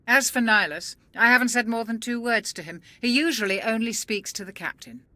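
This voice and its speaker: A woman's voice: on the high side, a little fast, sharp tone, no echo. An actress playing a dry, sensible female ship's doctor in a video game cutscene, speaking in a composed, matter-of-fact way.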